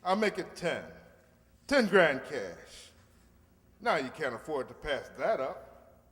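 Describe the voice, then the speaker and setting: A man's voice: fairly high pitch, sing-song, slow, fairly bright tone, heavily acted. A man playing a slick, pushy gang leader in an old-time radio crime drama, tough-talking, streetwise and wheedling. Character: slick, pushy crook leader, bargaining and threatening. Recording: echoey hall stage recording.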